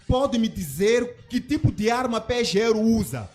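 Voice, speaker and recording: A studio commentator giving a measured analysis, speaking deliberately and posing rhetorical questions. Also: medium pitch, big pitch swings, a little mellow, soft background music.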